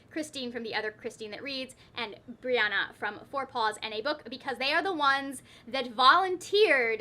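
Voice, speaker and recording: A woman's voice: high voice, bright, forceful tone. A woman hosting a book vlog in casual, chatty talk to viewers, often cutting herself off with wry asides. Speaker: book vlogger, host of her own channel.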